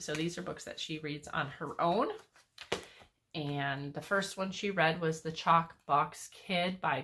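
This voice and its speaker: A woman's voice: lowish voice, a bit bright, slight background noise. An older woman, a homeschooling grandmother, chatting enthusiastically about books in a casual way with lots of fillers. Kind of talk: casual book review chat.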